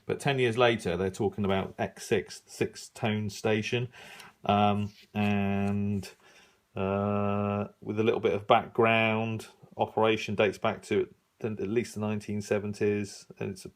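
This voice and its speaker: A middle-aged man giving a casual, unscripted review, musing and halting as he thinks aloud while browsing. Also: fairly low pitch, flat delivery. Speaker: radio hobbyist reviewing an old magazine.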